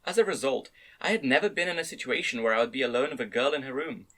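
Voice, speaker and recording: A man's voice: mid-range voice, quick pace, a bit bright. A man narrating a first-person story as an amateur dramatic reading. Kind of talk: dramatic reading of fan-read light novel narration.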